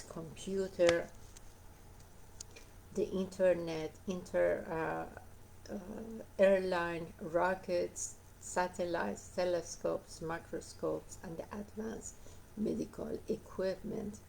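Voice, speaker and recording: A woman with a hoarse voice reads a nonfiction book aloud, pausing often on "uh" and going back to fix her words. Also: fairly low pitch, steady tone, dark tone, a little hiss or hum, low-quality recording. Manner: halting reading with frequent uh fillers and self-corrections. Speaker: book reader.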